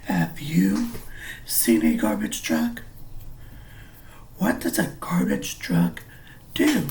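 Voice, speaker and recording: Guy teacher reading a kids book, really slow, gravelly edge, bit of background noise. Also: fairly low pitch, huge pitch swings, darkish tone. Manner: simple, deliberate explaining aimed at young children. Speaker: preschool or early grade teacher. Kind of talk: children's picture book read-aloud.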